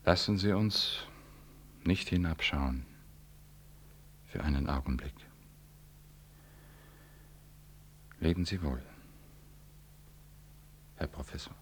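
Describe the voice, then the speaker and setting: Very deep, slow, solemn priest. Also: male voice, a little hiss or hum, heavily acted. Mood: solemn, conciliatory. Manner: deliberate, formal, grave. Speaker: actor in a radio play. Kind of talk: 1960s German radio drama acting.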